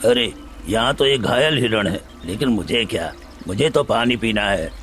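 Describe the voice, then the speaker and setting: A man's voice: lowish voice, expressive, normal speed, fairly bright tone, soft background music. Man acting a greedy, scheming village uncle. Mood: greedy, callous. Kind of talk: acted dialogue in Hindi moral story.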